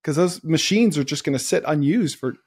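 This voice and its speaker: A man hosting a business podcast, asking quick probing questions and thinking aloud about the idea. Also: fairly high pitch, darkish tone, slightly rough. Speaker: podcast host. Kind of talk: casual interview chat.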